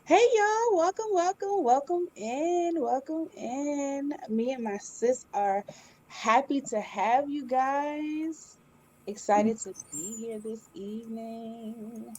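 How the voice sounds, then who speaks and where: A woman's voice: high voice, animated, slow, a little mellow, a little hiss or hum. A woman co-hosting a casual live spiritual chat, laid-back and slangy in how she talks.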